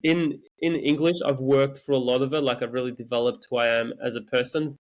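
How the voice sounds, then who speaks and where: A man's voice: mid-range voice, steady tone, fairly quick. Young Serbian-born man, interview guest, reflective and candid, mid-range voice with some stammered restarts. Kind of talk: reflective personal storytelling.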